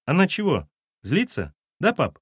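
A man's voice: on the high side, wildly swooping pitch, a little slow, slightly rough, dry recording, clean studio sound, pitched above the performer's own voice, heavily acted. A man voicing a carefree schoolboy in an audiobook, flippant and slangy, calling out to his parents. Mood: puzzled. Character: cheeky, carefree schoolboy son, loud and slangy.

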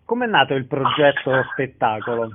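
A man's voice: mid-range voice, sing-song, normal speed, narrow, tinny audio, background noise. A man hosting a radio arts programme, interviewing guests and reading event announcements.

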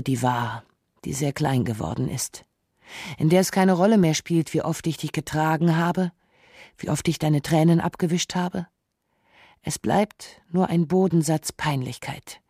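A narrator delivering a scripted literary radio essay in a measured, reflective manner. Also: mid-range voice, darkish tone, breathy edge, no room sound, clean studio sound.

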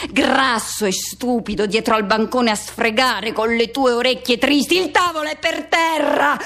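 An embittered man haunted by a first love, in a high voice. The delivery is intense and theatrical, built on obsessive repetition. It is a seething radio drama monologue. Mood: agitated, furious. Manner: intense, incantatory repetition, swinging from hushed to shouting.

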